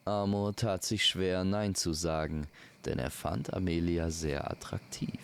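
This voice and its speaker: Guy telling a fairy tale, very low creaky voice, crickets faintly behind. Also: steady tone, measured pace, slight background noise. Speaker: storyteller. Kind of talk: scripted fairy-tale narration.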